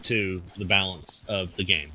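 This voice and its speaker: A man's voice: sits low, unhurried, pushed and bright, a little hiss or hum, rough recording. A man on a gaming podcast panel, explaining things in casual, somewhat hesitant talk. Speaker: podcast panelist and game staff member. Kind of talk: casual podcast chat about an online game.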